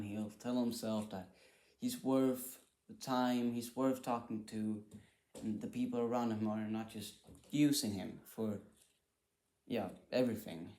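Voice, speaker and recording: Male, low voice, casual reaction host, hesitant, repeats himself, thinks out loud. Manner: hesitant, repetitive, thinking aloud. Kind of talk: casual off-the-cuff reaction commentary.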